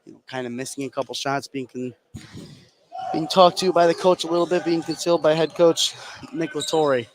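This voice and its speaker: A man's voice: a little high, fairly even intonation, a little fast. A man giving analysis as a color commentator during live basketball coverage. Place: indoor basketball gym, broadcast commentary. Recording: noisy live gym ambience.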